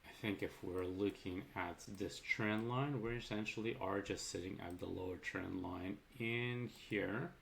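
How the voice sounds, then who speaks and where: A man giving a casual market rundown over charts, loose and chatty, his voice a little raspy from long talking. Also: low voice, unhurried, few pauses, a bit bright, slight background noise. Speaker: market commentator.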